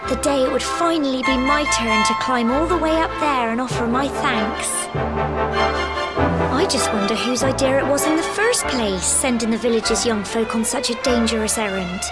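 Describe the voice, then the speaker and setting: A woman's voice: sits high, expressive, moderate pace, over loud music. An actress with a British accent playing a cheerful, chatty young village woman in a video game cutscene. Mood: chatty, earnest. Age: young adult.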